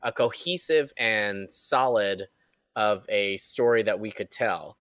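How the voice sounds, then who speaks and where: A man's voice: on the low side, slow, fairly bright tone, dry recording, clean studio sound. A man who works as an audio editor, chatting casually and emphatically on a panel, often repeating phrases to make a point. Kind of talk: casual, animated panel chat.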